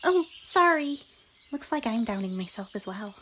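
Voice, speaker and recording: A woman voicing an affectionate, bubbly young cartoon pony in an ASMR roleplay, with a squeaky, breathy voice and a theatrical, tender manner. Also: big pitch swings, moderate pace, a bit bright. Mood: bubbly, sheepish. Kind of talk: ASMR fan fiction roleplay. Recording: noisy, birdsong and ambience behind.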